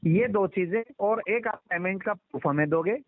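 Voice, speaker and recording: High-pitched man on a phone call. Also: moderate pace, dry recording. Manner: insistent, talks over others, repeats instructions. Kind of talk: explanatory phone conversation. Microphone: telephone line. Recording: muffled band-limited phone call recording.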